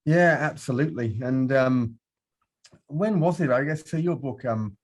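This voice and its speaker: A man's voice: medium pitch, unhurried. A man hosting a podcast interview, upbeat and welcoming as he asks questions. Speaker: podcast host.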